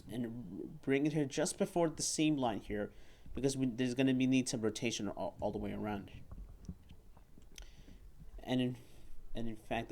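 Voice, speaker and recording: A man with a mid-pitched voice presents a hands-on toy review. He explains step by step in a halting way, often repeating a word or phrase before moving on. He is recorded indoors on a camera mic at about arm's length. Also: a little mellow, slight background noise. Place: indoor room at a table.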